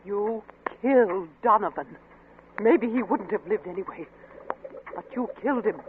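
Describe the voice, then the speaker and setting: A woman acting a distraught, accusing wife in a 1940s radio suspense play, pleading emphatically in a husky voice. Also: mid-range voice, moderate pace, slight background noise, lightly acted. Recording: thin, narrow-band old radio recording.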